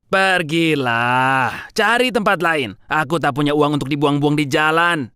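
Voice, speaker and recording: A man's voice: fairly high pitch, unhurried, bright, forceful tone, clear, resonant tone, dry recording, studio quality. A man playing a stingy, grumpy old businessman in a cartoon story, with a curt, scolding way of talking. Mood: irritated, dismissive. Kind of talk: dramatised children's story dialogue.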